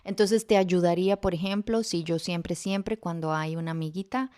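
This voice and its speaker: A woman with a fairly low voice hosts a podcast interview. She asks her questions patiently and steps in with the missing word when the talk stalls. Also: steady tone, moderate pace, smooth. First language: Spanish. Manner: gently prompting, suggesting words. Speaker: podcast host, mother.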